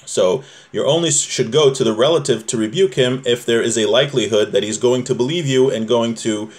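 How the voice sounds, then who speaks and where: A man's voice: mid-range voice, fairly quick. Man teaching a religious law class. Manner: conversational, explains step by step with rhetorical questions. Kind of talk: informal text lesson, reading a source aloud and explaining it.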